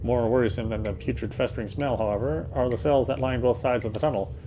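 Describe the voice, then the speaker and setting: A man's voice: mid-range voice, steady tone, fast talker, noisy background. A man reading first-person game narration aloud in a dramatic storytelling style, now and then stumbling over words.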